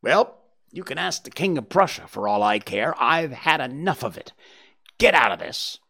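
A man voicing a surly, impatient market stall salesman in an audiobook story, blustering and shouting. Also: lowish voice, lively intonation, slow, exaggerated voice. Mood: angry, blustering.

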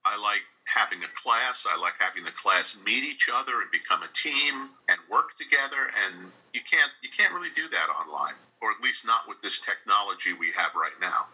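A man's voice: lowish voice, expressive, normal speed, hardly any pauses, sharp tone, slightly rough, low-quality recording. A man from New York answering interview questions over a video call in a conversational, encouraging, plain-spoken way. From New York, United States. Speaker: interview guest, radio drama director and instructor.

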